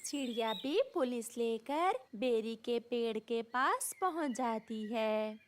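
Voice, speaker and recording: High-pitched, slow woman storyteller. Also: animated, a little hiss or hum. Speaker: narrator. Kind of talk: children's story narration.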